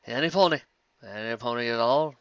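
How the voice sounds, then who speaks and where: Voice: fairly quick, no echo, lo-fi sound, close to an everyday voice. A man doing a growling tough pony mare. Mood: menacing. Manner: low growling menace. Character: tough battered female pegasus fighter.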